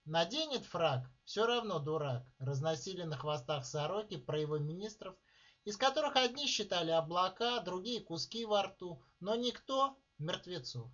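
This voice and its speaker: A man reading his own short story aloud in a measured, deliberate way, with dramatic pauses, at a live reading. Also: high-pitched, expressive, fairly bright tone. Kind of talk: literary reading of prose. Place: live reading at a writers' club. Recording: dull lo-fi recording.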